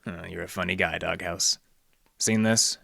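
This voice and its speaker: An actor playing a wry, hard-boiled library detective in the style of noir radio drama, with deadpan wisecracks. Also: male voice, deep voice, moderate pace, vocal fry, very noisy. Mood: dry, businesslike. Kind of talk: 1940s radio detective acting, narration and dialogue.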